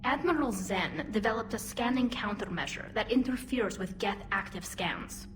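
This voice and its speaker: An actress playing a young, modest, war-weary alien admiral in a video game. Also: female voice, mid-range voice, lively intonation, moderate pace, slight background noise, lightly acted. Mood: explanatory.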